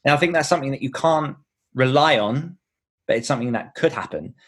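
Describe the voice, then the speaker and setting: A man's voice: medium pitch, expressive, fairly quick, breathy edge. A man giving earnest, explanatory answers in a conversational podcast interview, thinking aloud as he goes. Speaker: podcast host and author being interviewed.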